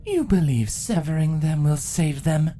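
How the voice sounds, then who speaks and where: A woman voicing an ancient changeling empress from a fantasy story, sadistic and mocking, speaking slowly and deliberately. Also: sits low, lively intonation, dark tone. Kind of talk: dramatic fan fiction voice acting.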